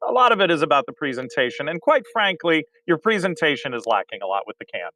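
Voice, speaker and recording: A man talking as a guest in a casual comedy debate, earnest and halting, often restarting his sentences. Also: a little high, very animated, pushed and bright, low-quality recording. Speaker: guest director.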